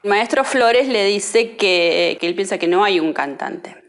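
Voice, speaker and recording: A woman's voice: medium pitch, unhurried. A woman telling anecdotes in an interview, conversationally, voicing the quoted dialogue as she goes. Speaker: interviewee telling family memories.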